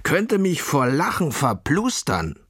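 Children's radio story: male owl played by a narrator, hoarse croak, gruff, menacing, scornful, animated delivery. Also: mid-range voice, slow pace, dry recording, studio quality, pitched above the performer's own voice, full character voice.

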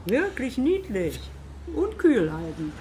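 A woman playing a brisk, exasperated newspaper seller in a children's radio play, blunt and emphatic. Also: fairly high pitch, huge pitch swings, mellow, a little hiss or hum, heavily acted. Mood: persuading. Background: music.

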